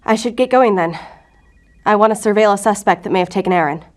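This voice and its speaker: A woman's voice: medium pitch, quick pace, lightly acted. An actress playing a defensive, earnest female federal agent in an audio drama, guarded in manner. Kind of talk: audio fiction drama acting.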